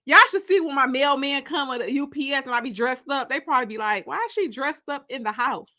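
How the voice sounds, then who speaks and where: A woman with an American accent and a bright, forward, fairly high voice hosts a casual livestream. She talks to her viewers in loose, colloquial language, with a playful and teasing manner. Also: fast. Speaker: livestream host and author.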